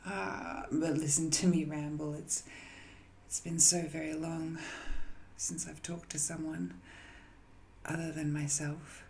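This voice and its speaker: Woman playing a slow, low-voiced ancient banished villainess. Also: flat delivery, darkish tone, slight background noise. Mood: musing, curious. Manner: hesitant, musing, theatrical, often drops to a breathy whisper. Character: ancient banished magical villain, softly seductive, weary, falsely humble. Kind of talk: scripted audio roleplay monologue, intimate and addressed to the listener.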